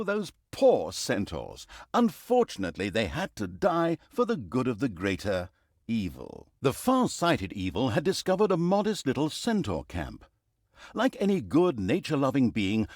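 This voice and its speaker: Hammy game narrator for an evil overlord story, sardonic and pompous, super animated pitch, close dry studio sound. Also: male voice, dry recording. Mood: gloating, mock-solemn. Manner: mock-grand, sardonic, hammy. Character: sardonic mock-grand storyteller of a villain's exploits. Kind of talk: theatrical scripted storytelling narration.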